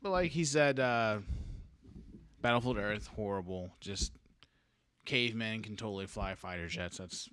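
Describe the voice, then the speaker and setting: A man's voice: fairly low pitch, a little slow, pauses often, a bit bright, clear, resonant tone. A man talking casually as a guest in a podcast group discussion. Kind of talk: casual chat.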